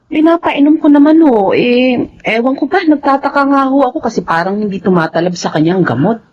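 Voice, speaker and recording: A woman's voice: a little high, animated, brisk, muffled recording, slight background noise, close to an everyday voice. A woman playing a worried, dutiful wife in a Filipino radio drama, speaking respectfully to an elder. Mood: concerned. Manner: respectful, anxious.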